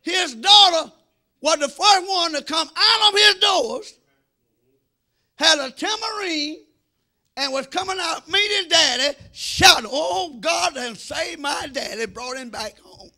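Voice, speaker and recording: A middle-aged man preaching an animated, emphatic sermon, repeating phrases and calling for response in a church, on a handheld microphone. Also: very high-pitched, slow. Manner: emphatic, repeating phrases, swinging between shouts and quieter asides. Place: church sanctuary, speaking from the front.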